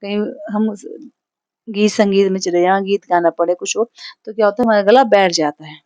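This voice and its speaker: A woman teacher with a Hindi accent takes a poem apart line by line, patient and explanatory. She stops now and then to check that her class is following. Her voice sits at a middle pitch, and the recording is dry, with no room sound. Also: brisk, darkish tone, no echo, muffled recording. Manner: explanatory, frequent "theek hai" check-ins.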